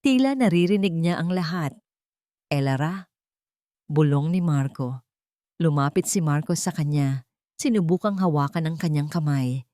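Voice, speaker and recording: A woman narrating a scripted romance drama story in a steady, measured way. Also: low voice, no echo, clean studio sound.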